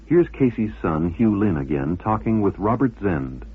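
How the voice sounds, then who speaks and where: Deep, animated male radio presenter. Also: normal speed, husky. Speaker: documentary presenter. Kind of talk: scripted radio documentary narration. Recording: narrow, band-limited old radio recording.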